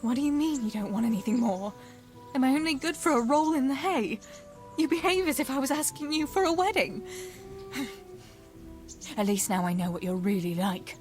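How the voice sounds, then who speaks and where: A woman's voice: sits high, animated, normal speed, long pauses, a little mellow, faint music behind. An actress playing a spirited young medieval village woman who teases and banters affectionately in a video game. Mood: hurt, angry. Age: young adult. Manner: teasing, bantering. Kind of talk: naturalistic video game dialogue acting.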